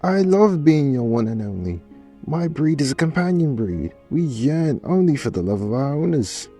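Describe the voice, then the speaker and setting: A man's voice: fairly high pitch, very animated, moderate pace, warm tone, soft background music. A man playing an affectionate, sentimental pet dog in a radio play, with an effusive, theatrical delivery. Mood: proud, adoring. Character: devoted pet dog, affectionate, dramatic, sentimental male companion.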